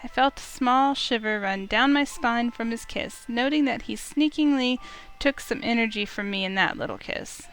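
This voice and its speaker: A woman reading a visual novel aloud as an expressive first-person story, with music underneath. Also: on the high side, moderate pace, low-quality recording.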